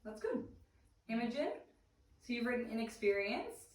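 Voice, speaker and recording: A woman's voice: on the high side, sing-song, normal speed. Echoey woman trainer, encouraging, distant mic. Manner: affirming, encouraging. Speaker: first aid trainer. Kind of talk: small group class instruction. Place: indoor room, small group class. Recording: echoey room, distant mic, faint noise.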